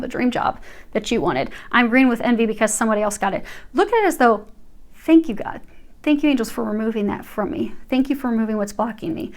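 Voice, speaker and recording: A woman giving an earnest, reassuring tarot reading, speaking straight to her viewers. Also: fairly high pitch. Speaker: tarot reader.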